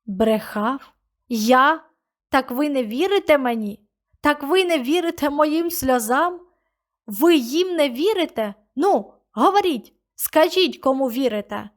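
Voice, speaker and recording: A woman plays a desperate young male stranger in a spoken story, pleading and anguished. The voice is fairly high and keeps breaking into raw, loud outbursts. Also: measured pace, close to an everyday voice.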